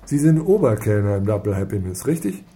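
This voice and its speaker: An actor playing an impatient, pushy police detective, sarcastic and demanding, in a naturalistic radio crime drama. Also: male voice, on the low side, animated, fairly quick, dark tone, natural voice.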